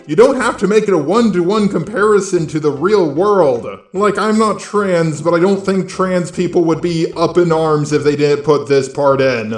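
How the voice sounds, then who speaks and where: A fairly high-voiced man delivers casual opinion commentary with a sarcastic, sweary manner. The recording is strongly roomy and echoing around his voice. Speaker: commentary video host.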